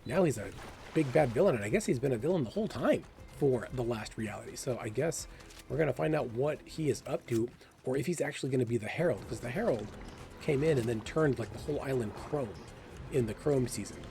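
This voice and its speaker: A man's voice: medium pitch, lively intonation, a little fast, mellow. A man hosting a gaming video with casual, chatty commentary, thinking aloud and enthusiastic about the game. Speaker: gaming video creator and host.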